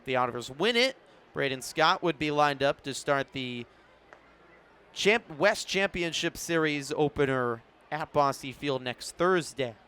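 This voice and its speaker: A man's voice: fairly high pitch, expressive, unhurried, sharp tone, smooth. A man doing live radio play-by-play commentary of a baseball game as the announcer. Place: broadcast position at an outdoor ballpark in the evening.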